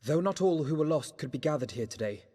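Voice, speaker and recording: A young British nobleman in a fantasy game. His voice sits fairly high, with formal, measured, earnest phrasing and long hall reverb. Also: animated, dark tone. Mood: earnest, resolute. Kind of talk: formal scripted game dialogue.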